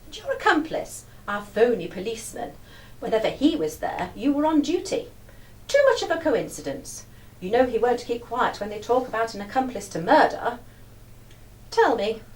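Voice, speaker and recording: A woman's voice: sits high, very animated, moderate pace. A woman acting in a live radio play as a clever, teasing young lady detective, with a British accent and breezy, genteel old-fashioned phrasing. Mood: confident, accusing. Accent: British English. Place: live stage performance recorded from the audience area. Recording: distant echoey hall, faint noise.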